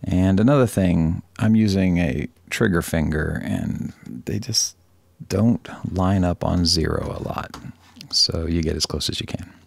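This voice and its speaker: A man presenting an informal software demonstration, rambling a little, correcting himself and joking dryly. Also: sits low, a little slow, creaky, no echo. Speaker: tutorial presenter.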